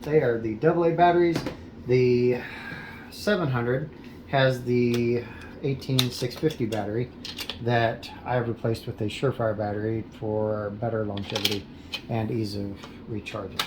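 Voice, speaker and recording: An American man giving a casual, plain-spoken how-to explanation in a methodical, measured way. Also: mid-range voice, fairly even intonation. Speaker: amateur host of a radio repair video. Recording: echoey room, loud background noise.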